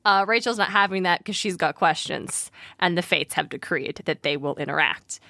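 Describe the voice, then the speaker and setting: A woman's voice: lowish voice, moderate pace, gravelly, bright, forceful tone, no room sound, clean studio sound. A woman hosting a podcast in casual, chatty banter, with an informal, wry way of talking. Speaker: podcast host.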